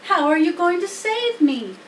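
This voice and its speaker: A woman's voice: very high-pitched, sing-song, measured pace, pitched above the performer's own voice, heavily acted. A woman voicing an anxious, eager young male pig in a storybook, pleading earnestly like a small child. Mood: anxious, curious. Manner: pleading, earnest, full of questions. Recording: roomy, distant mic, faint background noise.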